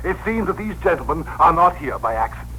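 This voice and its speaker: A man's voice: fairly high pitch, sing-song, fast, bright, forceful tone. An actor playing an officious messenger who relays his boss's orders in a 1960s radio drama, curt and formal. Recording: noisy, humming old radio broadcast.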